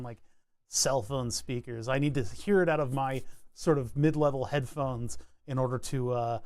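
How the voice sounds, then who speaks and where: A man's voice: mid-range voice, dry recording, clean studio sound. A man streaming live, chatting casually while he designs a circuit board, thinking aloud and often restarting his sentences. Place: home computer desk.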